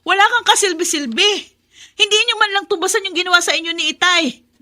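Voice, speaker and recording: Weary, high-voiced self-sacrificing Filipino daughter. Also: female voice, brisk, sharp tone. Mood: resentful. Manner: pleading, emotional. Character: weary, self-sacrificing eldest daughter caring for her father. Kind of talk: Filipino radio drama acting.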